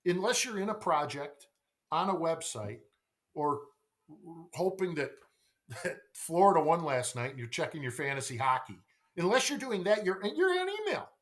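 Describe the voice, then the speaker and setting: A man's voice: fairly high pitch, very animated, normal speed, fairly bright tone. Middle aged guy on a podcast, really swingy voice, punches points, repeats himself, keeps asking right?. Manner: emphatic, repeats phrases, rhetorical questions and tag "right?". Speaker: sales coach, podcast guest. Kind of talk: conversational podcast interview.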